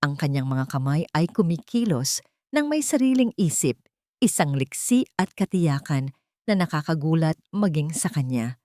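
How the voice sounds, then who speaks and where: Low-pitched woman narrating a dramatic story. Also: very animated, dark tone, dry recording. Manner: measured, emotive storytelling in short phrases.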